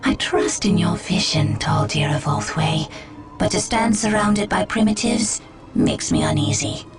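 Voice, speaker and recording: A woman's voice: fairly low pitch, sing-song, measured pace, music in the background. A woman playing a wary, haughty alien harlequin warrior in a video game, formal and dramatic. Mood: uneasy. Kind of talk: video game cutscene acting.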